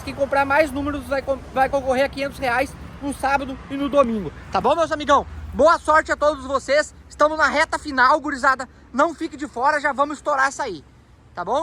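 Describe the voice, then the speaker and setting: Man, very high voice, chatty raffle pitch, faint street noise outdoors. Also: steady tone, a little fast, sharp tone. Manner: chatty, repeats "tá bom, meus amigão". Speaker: truck shop owner running a raffle. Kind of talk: casual promo talk to followers.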